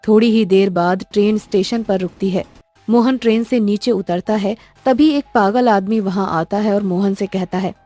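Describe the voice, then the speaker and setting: A woman's voice: mid-range voice, normal speed, mellow, dry recording. A woman storyteller reading scripted narration for a cartoon tale. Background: faint music.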